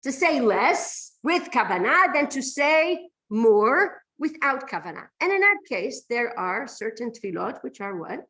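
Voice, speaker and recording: Animated woman teaching a religious class. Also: fairly high pitch, unhurried, few pauses. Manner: emphatic, poses rhetorical questions and checks "right?". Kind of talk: lecture to a class, explaining texts.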